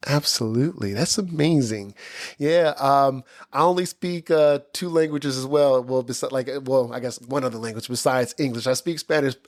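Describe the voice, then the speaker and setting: A man's voice: medium pitch, normal speed. Rambling man host full of fillers. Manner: rambling, heavy fillers like "you know" and "like", laughs often. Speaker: podcast host. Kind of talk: casual interview chat.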